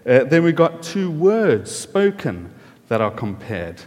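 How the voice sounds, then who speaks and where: A man's voice: mid-range voice, very animated, slow pace, darkish tone. A man with a South African accent giving a chatty sermon, with many fillers, asking the audience questions. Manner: chatty, friendly, filler-heavy. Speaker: preacher. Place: church hall. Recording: reverberant church hall.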